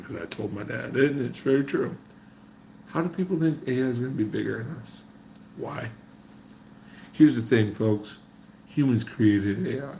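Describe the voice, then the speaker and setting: A man's voice: on the low side, gravelly, darkish tone, echoey room, a little hiss or hum, rough recording. A man giving casual motivational faith talk to the camera, folksy and preachy, repeating his points. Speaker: faith vlogger.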